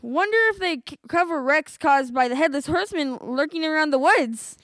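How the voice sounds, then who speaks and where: Young female radio co-host: high voice, scripted ad reads, dry sound. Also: animated, normal speed, fairly bright tone, dry recording. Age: young adolescent, middle school student. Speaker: radio show co-host reading sponsor spots.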